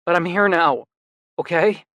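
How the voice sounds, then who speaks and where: A man's voice: high-pitched, unhurried, pushed and bright, no room sound, pitched above the performer's own voice. A man voicing a frantic worried younger brother. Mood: worried pleading. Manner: urgent, pleading, swears when upset.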